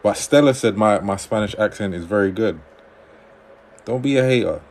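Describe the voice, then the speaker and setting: A man hosting a livestream on dating advice, reading viewer comments aloud and answering them in a relaxed conversational way. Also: lowish voice, normal speed.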